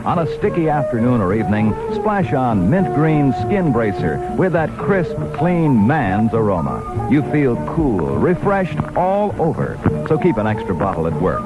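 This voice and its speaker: Animated American radio ad announcer. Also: male voice, medium pitch, a little slow, few pauses, lo-fi sound. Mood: selling. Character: smooth-selling male commercial announcer. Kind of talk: scripted 1960 radio ad read. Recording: 1960 radio ad with music.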